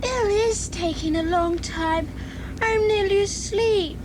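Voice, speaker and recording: Tiny, very high anxious little girl. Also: slow, noisy background. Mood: innocent. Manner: timid, questioning. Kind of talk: children's audio drama acting.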